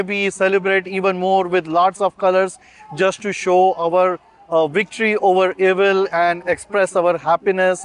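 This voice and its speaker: A man explaining a festival tradition outdoors, speaking haltingly with many filler sounds. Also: sits high, smooth. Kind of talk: unscripted explanation on camera.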